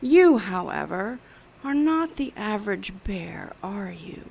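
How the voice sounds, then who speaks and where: A woman's voice: mid-range voice, very animated, slow pace, creaky, darkish tone, breathy edge, heavily acted, poor audio. An actress playing a smug, menacing female mad scientist in an audio drama thriller, speaking precisely and theatrically. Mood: gloating menace.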